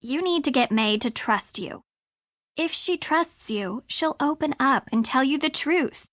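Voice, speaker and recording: High-voiced, snarky young woman. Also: animated, measured pace, a bit bright, dry recording, studio quality. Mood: urging. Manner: blunt, snarky. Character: brash, sarcastic, impatient young woman sleuth. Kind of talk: video game voice acting.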